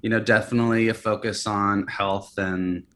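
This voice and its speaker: A man's voice: on the low side, moderate pace. A man in his early thirties, a company founder, talking casually in an interview with lots of fillers and restarts. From California and Hawaii. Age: early 30s. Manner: relaxed, hesitant, many fillers and restarts.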